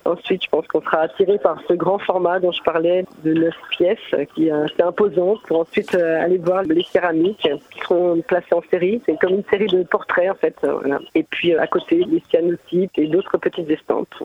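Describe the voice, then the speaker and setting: A woman, an artist explaining her work in a conversational radio interview, pausing often as she searches for words. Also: on the low side, fairly even intonation, a little hiss or hum. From Mamers, France. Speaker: visual artist, interview guest. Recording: muffled phone-like narrow band.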